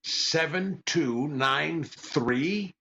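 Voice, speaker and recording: An American man playing a long-suffering comedian husband in 1940s radio comedy style, dry and incredulous with a gravelly voice. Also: fairly high pitch, extremely slow, pushed and bright, muffled recording, exaggerated voice. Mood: puzzled, wary.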